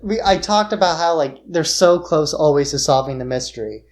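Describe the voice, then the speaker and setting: A woman's voice: low-pitched, lively intonation, moderate pace, a little mellow. A woman co-hosting a podcast in a casual, conversational discussion of films. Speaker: podcast co-host.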